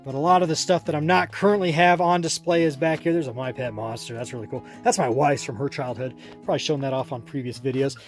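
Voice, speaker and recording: A middle-aged man hosting a toy collecting channel, rambling through a casual room tour with lots of filler and restarts, his voice going hoarse. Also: medium pitch, steady tone, fast talker, hardly any pauses, soft background music. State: losing his voice.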